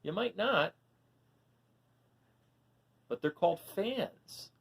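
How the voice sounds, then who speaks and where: A woman reading a picture book aloud for children, animated and often stopping to ask the listener questions. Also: on the low side, very slow, vocal fry, breathy edge. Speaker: storybook reader for children.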